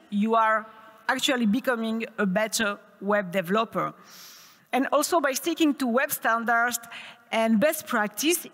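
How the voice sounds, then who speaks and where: High-voiced French woman giving a talk. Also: fairly bright tone. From France. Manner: upbeat, asks the audience questions. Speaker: conference speaker. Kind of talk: tech conference talk. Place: conference hall. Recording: reverberant hall.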